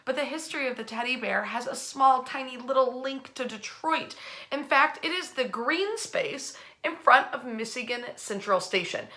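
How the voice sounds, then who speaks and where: Bright, higher-pitched woman telling history stories. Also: normal speed. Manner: animated, chatty, colloquial. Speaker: host of a nightly history storytime. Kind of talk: informal storytelling to an online audience. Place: in bed at home.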